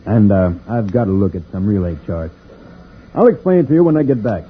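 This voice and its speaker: An actor playing a calm, seasoned, fatherly spaceship commander who explains things reassuringly in an old-time radio serial. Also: fairly low pitch, a little fast, faint music behind. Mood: calm, measured. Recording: tinny, noisy old radio recording.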